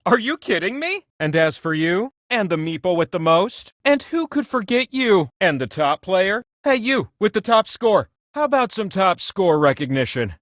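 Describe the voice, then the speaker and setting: Casting: whimsical all-powerful game show wheel, high voice, very animated swings, gushing and theatrical. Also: male voice, no echo, clean studio sound. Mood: astonished, then doting, delighted. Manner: gushing, mock-grand, playful exclamations. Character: whimsical, grandiose godlike trivia wheel host, excitable and doting. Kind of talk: theatrical scripted game host lines.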